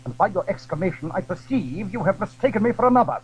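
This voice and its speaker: Man playing high-voiced haughty foreign musketeer. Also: lively intonation, quick pace, slightly rough, low-quality recording. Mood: haughty, impatient. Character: haughty foreign male musketeer, curt and coolly polite.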